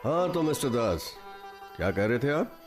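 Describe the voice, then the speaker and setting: An actor playing a greedy, power-hungry village villain in a radio drama, self-important and gloating. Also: male voice, medium pitch, very animated, normal speed, music in the background, natural voice. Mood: brisk, interested. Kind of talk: Hindi radio drama acting.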